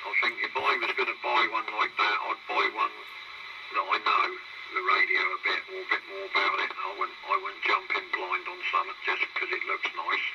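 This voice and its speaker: A man's voice: deep voice, flat delivery, fairly quick, gravelly, dull audio, noisy background. A British man chatting casually over CB radio in a rambling, matey, mildly sweary way, through a staticky transmission. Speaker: CB radio hobbyist.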